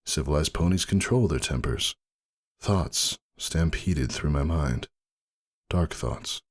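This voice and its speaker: A man narrating a first-person story dramatically, in a brooding, measured and theatrical delivery. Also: very deep voice, animated, husky. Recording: music behind the voice. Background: music.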